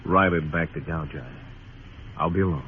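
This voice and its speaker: An actor playing a world-weary, laconic frontier marshal with a dry, brooding manner in a radio western. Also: male voice, a bass voice, normal speed, slight background noise. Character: hard-bitten, world-weary male US marshal in Old West. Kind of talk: 1950s radio western narration and acting. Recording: tinny old radio recording, music behind.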